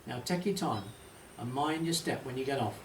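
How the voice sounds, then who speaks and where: A man's voice: mid-range voice, moderate pace, slight background noise, natural voice. A man voicing a cheerful, teasing train guard with a folksy West Country accent, recorded in a roomy space. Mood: jovial, teasing. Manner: folksy, teasing dialect talk. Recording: roomy, echoey, distant home recording.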